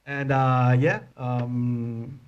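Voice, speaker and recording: A man in his thirties with a Spanish accent gives an informal conference talk as an animator, speaking halting non-native English into a handheld microphone. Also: mid-range voice, level tone, muffled recording. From Spain. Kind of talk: informal presentation to an audience. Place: indoor hotel conference room.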